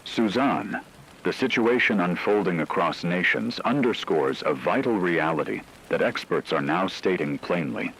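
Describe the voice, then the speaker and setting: A man voicing a grave radio news anchor in a dystopian crisis broadcast, reading scripted news in a measured, solemn, husky way. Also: deep voice, lively intonation, a bit bright, exaggerated voice, rough recording.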